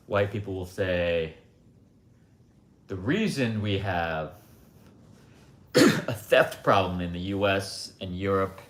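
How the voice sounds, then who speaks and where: A man hosting a livestream, talking in a blunt, rambling, opinionated way with lots of asides. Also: low-pitched. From United States. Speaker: livestream host. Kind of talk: casual opinionated monologue. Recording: roomy echo.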